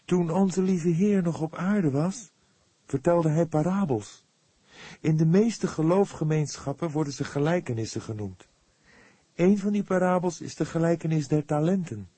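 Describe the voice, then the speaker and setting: A man's voice: on the high side, lively intonation, normal speed, warm tone, slightly rough, dry recording, pitched above the performer's own voice. Audiobook role: pious, earnest young woman, played by a male narrator, voice lifted well up, solemn delivery, close dry sound. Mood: devout, earnest. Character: devout, earnest, grief-stricken young woman.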